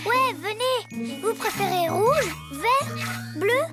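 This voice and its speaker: An actor voicing an excitable, earnest young boy superhero in a French cartoon dub. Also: extremely high pitch, slow, full character voice. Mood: cheerful, gentle. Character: brave, eager young boy superhero who loves his colours. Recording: music behind the voice.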